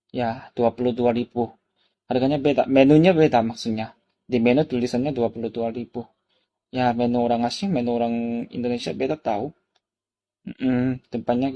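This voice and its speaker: Korean guy w korean accent, native korean, vlog storytelling, mellow relaxed tone, simple repeated phrases, keeps asking viewers questions. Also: mid-range voice, highs cut off. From South Korea. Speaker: vlogger. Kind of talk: casual personal storytelling to camera.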